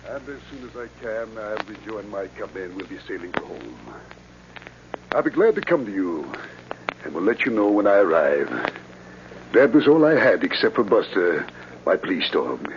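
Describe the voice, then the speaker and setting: Low-voiced young soldier, old radio drama. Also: male voice, big pitch swings, moderate pace. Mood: earnest, wistful. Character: young convalescing soldier dictating a heartfelt letter. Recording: muffled, hissy old radio recording.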